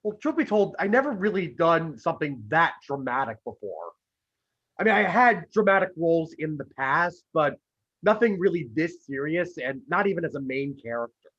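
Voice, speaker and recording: A man's voice: fairly high pitch, big pitch swings, moderate pace, fairly bright tone. A man, an actor, chatting casually as an interview guest, heard through dull video call audio. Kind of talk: casual, conversational interview answers.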